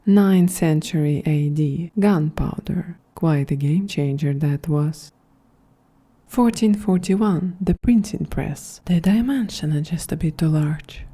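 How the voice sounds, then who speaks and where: Woman as a breathy, very soft, slow android. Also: low voice, heavily acted. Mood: measured, lecturing. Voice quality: breathy, half-whispered. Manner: slow, measured, solemn delivery. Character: calm, soft-spoken female android welcoming colonists, quietly grandiose. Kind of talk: soft-spoken ASMR roleplay monologue.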